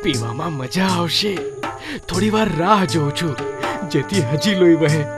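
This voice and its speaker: A man's voice: a little high, lively intonation, normal speed, natural voice. A man voicing a foolish, greedy, bloodthirsty cartoon fox who gloats and draws out his words. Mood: greedy relish. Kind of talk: children's cartoon story acting. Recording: loud music over the voice.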